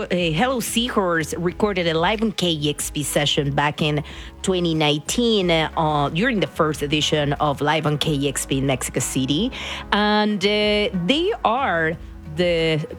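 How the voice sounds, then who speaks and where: A woman from Argentina with an Argentine Spanish accent, chatting casually as a radio DJ and slipping into Spanish words. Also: low voice.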